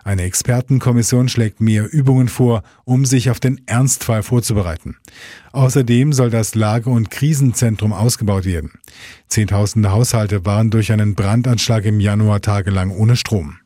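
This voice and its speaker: A man's voice: lowish voice, normal speed, dark tone, dry recording, clean studio sound. A man reading scripted radio news as the anchor, in standard German.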